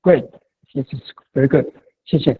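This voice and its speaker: A lecturer from Taiwan whose first language is Mandarin gives a classroom lecture in English. They pause and restart often and close many points with a checking "okay?". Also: medium pitch, breathy edge, narrow, tinny audio. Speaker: university lecturer.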